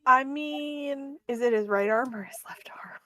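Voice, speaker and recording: A woman's voice: high-pitched, unhurried, fairly bright tone, no room sound, clean studio sound. A woman chatting casually as a player in a tabletop roleplaying game.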